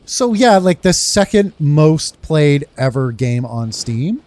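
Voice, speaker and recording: A man's voice: on the high side, big pitch swings, slow pace, darkish tone, dry recording. A man giving casual, rambling playthrough commentary as a game streamer, informal in his wording. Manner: rambling, informal, mild swearing.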